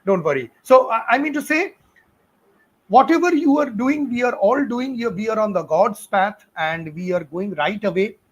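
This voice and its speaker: A man's voice: high voice, animated, normal speed, muffled recording. A middle-aged man with an Indian accent, answering students' questions on a live stream in a chatty, encouraging way, with many fillers and laughs. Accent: Indian English. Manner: encouraging, fatherly advice to students. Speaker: physics teacher on a live stream.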